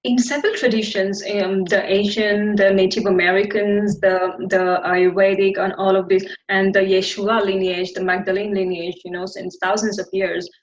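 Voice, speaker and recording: A woman guest giving explanatory, conversational interview answers in a deliberate, instructive way, repeating phrases for emphasis. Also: medium pitch, little pitch movement, lo-fi sound. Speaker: podcast guest, teacher on women's cycles.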